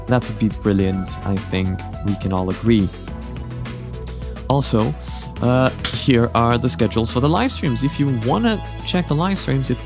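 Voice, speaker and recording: A man hosting a casual reaction vlog, speaking in a hesitant, rambling way and often correcting himself. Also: medium pitch. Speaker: host of a reaction channel. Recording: music behind the voice. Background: background music.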